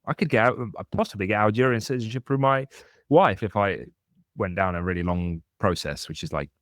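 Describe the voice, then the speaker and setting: Deep-voiced man hosting a podcast. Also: fairly quick, a bit bright, dry recording, clean studio sound. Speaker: podcast host. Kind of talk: casual chat.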